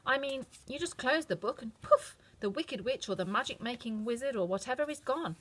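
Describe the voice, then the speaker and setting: A woman's voice: on the high side, animated, brisk, a bit bright, pitched above the performer's own voice, rough recording. A woman voicing a sweet, earnest young farm girl who speaks in a pleading, homesick way. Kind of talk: storybook reading.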